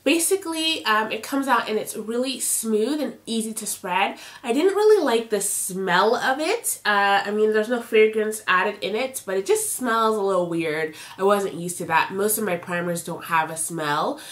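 A woman's voice: mid-range voice, animated, moderate pace, few pauses. A young woman in her twenties, congested and nasal from a sinus infection, chatting through a casual beauty product review for her channel. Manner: chatty and upbeat, with fillers like "uh" and "you know". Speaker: beauty vlogger. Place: indoor home filming setup. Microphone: camera at close range, facing the camera.

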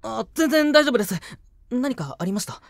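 A man's voice: extremely high pitch, big pitch swings, moderate pace, gravelly, no room sound, clean studio sound, heavily acted. A man playing a nervous, deferential young junior sound engineer in a voice drama, hesitant and polite. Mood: alarmed. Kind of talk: Japanese voice drama acting.

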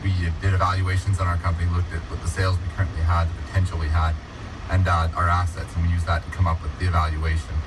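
A young man in his late teens answers interview questions quickly. His voice is deep, and there is clear noise around him. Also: monotone, far from the mic. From Alberta, Canada. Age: teens, high school senior. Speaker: interview guest, young entrepreneur. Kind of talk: casual radio interview. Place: radio studio.

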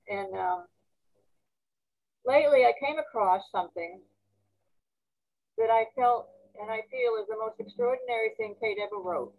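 Higher-voiced, reflective woman on a video call. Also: low-quality recording. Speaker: former member of a women's arts colony. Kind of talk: informal discussion on a group video call.

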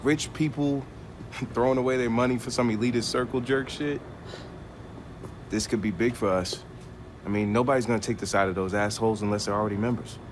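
A man's voice: medium pitch, steady tone, a little fast, natural voice. A young man playing an eager, casual, slangy hacker in a video game cutscene. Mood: scornful. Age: young adult. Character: eager, laid-back young male hacker. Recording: noisy ambient game scene.

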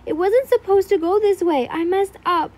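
Woman doing a tearful obsessive young guy, frantic, pleading, high voice, loud. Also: normal speed. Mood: frantic muttering.

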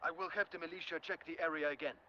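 Uneasy guard, slightly high voice. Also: male voice, fairly even intonation, quick pace, pushed and bright. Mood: uneasy, deferential. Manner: obedient, hesitant. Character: deferential, uneasy male military guard reporting to his general. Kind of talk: video game audio log acting. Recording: muffled game audio with background noise.

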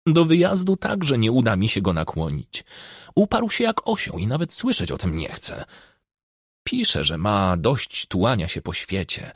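A man's voice: mid-range voice, vocal fry, slightly rough, dry recording, clean studio sound, voice pushed up, heavily acted. A man voicing a troubled, discontented young woman with a complaining, pleading manner in an audiobook.

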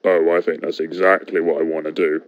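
Deep-voiced cocky steam engine, played by a man. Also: flat delivery, a little fast, muffled recording. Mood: defiant. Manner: wry, defiant. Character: cocky, wisecracking good-hearted steam engine.

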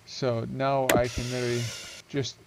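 A man's voice: medium pitch, level tone, moderate pace, very noisy. A young man casually walking through a game build he made, rambling and correcting himself with many ums, into a headset mic at home. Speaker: gaming video creator.

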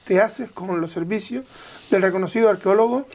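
A woman's voice: mid-range voice, a little fast, a little mellow. A woman hosting a conversational interview, speaking in short broken-up phrases. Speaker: interviewer, show host.

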